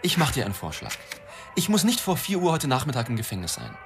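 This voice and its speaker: Radio play casting: flippant, wry reporter, mid-range voice over prominent music. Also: male voice, medium pitch, wildly swooping pitch, fast talker, gravelly. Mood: placating, apologetic. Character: flippant, evasive male newspaper reporter and family man. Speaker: actor, lead and narrator. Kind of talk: radio drama dialogue and first-person narration.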